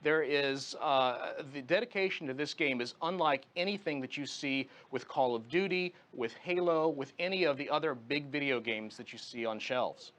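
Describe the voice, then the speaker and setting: A man's voice: medium pitch, moderate pace, few pauses, a bit bright. A man speaking as a guest commentator in a live news interview, explaining his analysis point by point.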